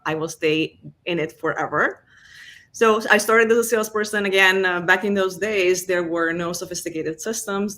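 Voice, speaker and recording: A woman's voice: deep voice, a bit bright. A woman with a Hungarian accent, a guest in an interview, talking through her career in a conversational way with many fillers. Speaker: guest, revenue enablement executive.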